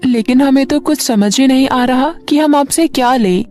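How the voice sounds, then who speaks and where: An eager, kind-hearted little schoolgirl from a storybook, voiced by a woman, speaks politely in a high, childlike voice. Music plays underneath. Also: moderate pace, a little mellow, close to an everyday voice. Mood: amazed.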